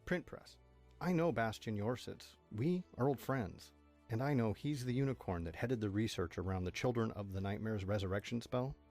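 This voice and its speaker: A man's voice: fairly low pitch, normal speed, darkish tone, faint music behind. A man playing an inquisitive, self-assured, suspicious pony in an audio drama. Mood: probing.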